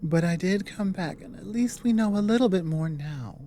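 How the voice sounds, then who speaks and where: A woman playing an earnest, resolute female dragon ally in an ASMR roleplay, speaking in halting, intimate phrases with pauses. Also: lowish voice, animated, warm tone, a little hiss or hum, natural voice. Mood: firm, regretful.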